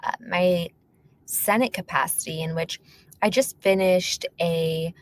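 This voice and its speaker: Low-voiced woman, earnest podcast guest. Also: steady tone, a little slow. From born in Palestine, raised in North Philadelphia. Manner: earnest, emphatic storytelling with frequent fillers. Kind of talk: podcast interview.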